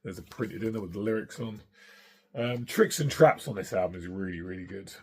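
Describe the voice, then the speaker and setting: A middle-aged man with a hoarse, husky voice from singing, chatting casually and enthusiastically about records he has collected, with plenty of ums and uhs. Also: lowish voice, brisk, darkish tone, a little hiss or hum. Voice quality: gravelly, husky. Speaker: vinyl collector vlogger.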